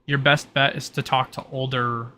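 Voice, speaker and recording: Male game master, bright tone, improvising and explaining haltingly on a slightly noisy home mic. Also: mid-range voice, level tone. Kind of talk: improvised narration of an online tabletop roleplay. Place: online voice call.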